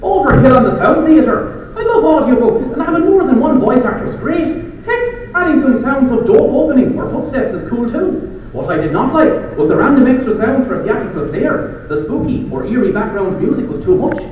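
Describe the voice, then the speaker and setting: A man's voice: very high-pitched, expressive, normal speed, a little mellow. A man playing a fussy audiobook listener, griping emphatically as a review is performed in a live drama. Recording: dull, echoey hall, distant mic, faint noise.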